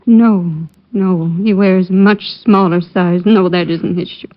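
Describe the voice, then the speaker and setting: A dry, dull old radio recording of a grieving mother. Her voice is fairly low, middle-aged and female, with a weary, resigned politeness. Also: steady tone, takes it slowly, no room sound. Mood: subdued. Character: grieving, weary mother of a murdered grown son. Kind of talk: 1940s-50s radio drama acting.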